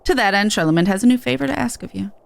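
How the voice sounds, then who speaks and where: A woman's voice: mid-range voice, expressive, racing, gravelly, dry recording. A woman streaming a game, giving casual, offhand commentary and reading game text aloud. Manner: informal, offhand.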